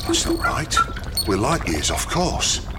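Timid starship underling, stammering, flustered, swooping intonation, sci-fi audio drama, loud music behind. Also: male voice, mid-range voice, wildly swooping pitch, slow pace. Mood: anxious confusion. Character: nervous, subservient male spaceship crewman. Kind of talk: science fiction audio drama.

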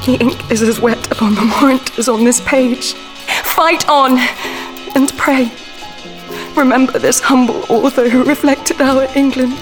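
A woman's voice: sits high, fairly even intonation, a little slow. A woman playing an earnest, stirring female author in an audio drama, declaiming solemnly. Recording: music behind the voice.

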